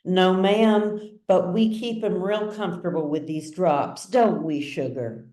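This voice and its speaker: A woman's voice: fairly low pitch, slow, warm tone. A woman voicing a folksy, soothing nurse in a storybook reading, sugary and familiar. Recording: roomy echo, distant mic.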